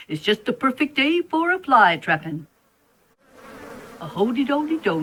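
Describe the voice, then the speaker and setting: A woman's voice: medium pitch, fairly bright tone, slight background noise, low-quality recording. A woman playing a whimsical, hungry spider in a children's storybook play, with a sing-song manner and nonsense catchphrases. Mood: gleeful, scheming. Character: hungry, whimsical spider hunting flies, insists it isn't mean.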